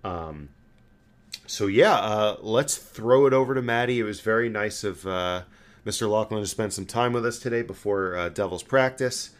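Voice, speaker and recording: A man's voice: on the low side, normal speed. A man co-hosting a sports podcast, chatting casually and putting questions to a guest. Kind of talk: casual sports chat and interviewing.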